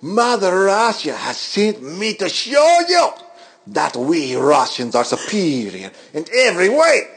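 A man's voice: high-pitched, wildly swooping pitch, unhurried, fairly bright tone, breathy edge, full character voice. A man voicing a boastful Russian heel wrestler, cutting a menacing promo in a put-on accent with broken English.

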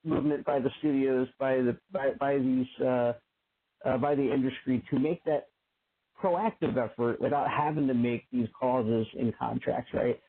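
A man's voice: mid-range voice, fairly even intonation, normal speed. A man hosting a casual discussion, talking conversationally with restarts and frequent "right?". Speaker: host. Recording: narrow phone-like audio.

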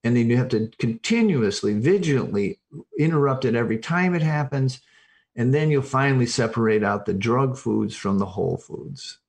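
A man's voice: mid-range voice, lively intonation, a little mellow. A middle-aged or older man speaking as an expert podcast guest, explaining informally and emphatically, often restarting and repeating phrases. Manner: emphatic, halting and self-correcting, repeats phrases. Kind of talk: informal explanatory interview talk.

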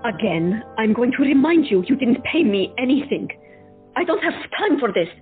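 A woman's voice: fairly high pitch, sing-song, moderate pace. A woman voicing a weary, short-tempered woman doctor who answers curtly, on a lo-fi recording with music. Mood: defensive. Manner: exasperated, curt. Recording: lo-fi, phone-like, with music.

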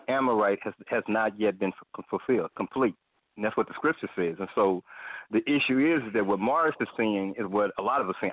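A man giving measured, lecturing commentary drawn from scripture, repeating his points. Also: lowish voice, no echo, phone-quality sound.

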